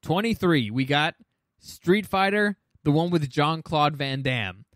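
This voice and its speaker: A man's voice: fairly high pitch, measured pace, no room sound, clean studio sound. A man co-hosting a casual talk show, giving blunt opinions with some swearing and stammered restarts as he runs through a movie ranking. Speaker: podcast co-host.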